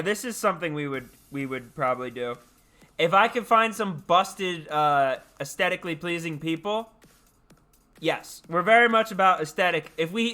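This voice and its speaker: A man's voice: high voice, bright, forceful tone. A man chatting casually and playfully with live stream viewers, often repeating his words and phrases. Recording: music playing behind the voice. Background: background music.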